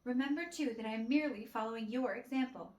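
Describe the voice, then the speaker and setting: A woman's voice: a little high, quick pace, a little mellow, distant mic, slight background noise, lightly acted. A woman voicing a proud, wounded young man in theatrical rhyming verse, as in a storybook play. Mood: huffy, indignant. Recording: roomy echo with music behind.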